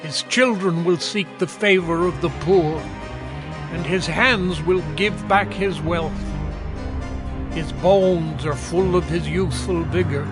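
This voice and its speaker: An actor playing an indignant, moralizing man who condemns the wicked in a declamatory, admonishing manner, in a dramatized scripture reading. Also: high voice. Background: music.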